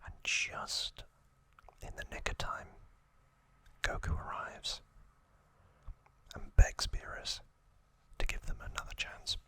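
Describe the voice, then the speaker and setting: Slow whispering man, ASMR style. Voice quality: breathy unvoiced whisper. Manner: hushed, unhurried, short phrases with pauses. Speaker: ASMR host. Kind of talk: whispered ASMR trivia recap of an anime story.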